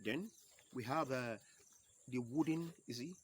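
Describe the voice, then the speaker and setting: A man with a Yoruba accent teaching a home video lesson, going slowly and repeating each key term step by step. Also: medium pitch, husky. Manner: slow, repeats key terms, explains step by step. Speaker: percussion teacher.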